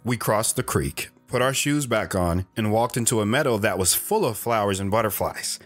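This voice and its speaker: A man's voice: on the low side, lively intonation, moderate pace, natural voice. A man acting as a wistful wandering storyteller, guitar behind. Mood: wistful, reflective. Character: lone wandering man wistfully recounting his romance. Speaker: storytelling guest on a radio show. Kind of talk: dramatic first-person narration. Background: plucked guitar music.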